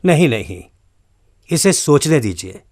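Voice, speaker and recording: An earnest, non-violent college principal in a radio play, acted by a man. He speaks slowly and deliberately, with a very animated pitch that rises into pointed, reproachful questions. He pauses for weight as he pleads. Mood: earnest, conciliatory. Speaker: actor. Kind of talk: radio drama acting.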